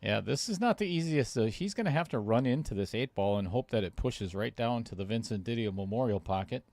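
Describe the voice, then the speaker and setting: Gravelly man commentating a pool match. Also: medium pitch, animated, brisk, a little mellow. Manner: casual, chatty, reads viewer comments aloud. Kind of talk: live stream commentary.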